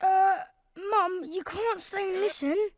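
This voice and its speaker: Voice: very high voice, fairly even intonation, slow, very noisy, full character voice. An actor voicing an earnest schoolboy in a children's cartoon, easily exasperated by parents. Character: Derek, earnest schoolboy drummer embarrassed by parents. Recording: music behind the voice.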